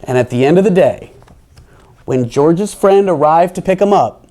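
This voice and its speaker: Man, children's storybook reader, highly animated, playful, slightly high voice, dry deadpan asides. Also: moderate pace. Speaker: storyteller reading a children's picture book.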